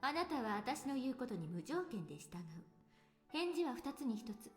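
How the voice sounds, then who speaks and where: Brisk, commanding woman teacher, high and lively. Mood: cool, commanding. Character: commanding, teasing, sly female physics teacher and researcher. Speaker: visual novel voice actress. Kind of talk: Japanese visual novel game voice acting. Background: game music.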